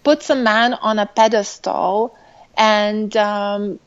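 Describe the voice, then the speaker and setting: A woman's voice: medium pitch, fairly even intonation, takes it slowly, clean tone, lo-fi sound. A woman from Lithuania with a mixed Lithuanian, Irish and British accent, answering interview questions as a relationship coach. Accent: mixed Lithuanian, with Irish and British influence.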